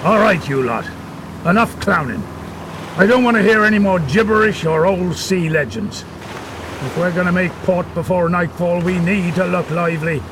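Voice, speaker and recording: An actor playing a gruff, impatient middle-aged ship's captain in a radio adventure, gravelly and brusque. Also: male voice, sits high, moderate pace, close to an everyday voice. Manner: brusque, barking, scornful. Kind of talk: 1930s-style radio adventure acting. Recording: noisy sea and boat engine ambience.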